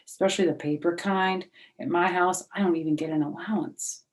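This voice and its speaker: A woman's voice: lowish voice, steady tone, a little fast, lightly acted. A woman voicing a plain-spoken, matter-of-fact young schoolboy in a children's storybook.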